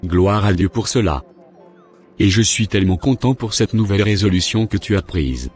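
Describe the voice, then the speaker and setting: Low-voiced devout dad played by a man. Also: normal speed, darkish tone, soft background music, lightly acted. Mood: earnest, fatherly. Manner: earnest, fatherly. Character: devout, encouraging father of a young son.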